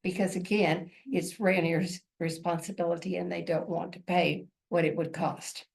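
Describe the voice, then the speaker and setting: A woman's voice: on the low side, level tone, normal speed, gravelly, darkish tone. A woman giving an informal presentation at a community meeting, earnest and rambling, often correcting herself.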